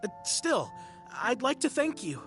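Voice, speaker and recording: A fairly high, very expressive voice with music behind it: an anxious, superstitious woman in a video game. She is polite, earnest and easily flustered. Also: measured pace. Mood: stunned, awkward. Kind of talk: video game character acting.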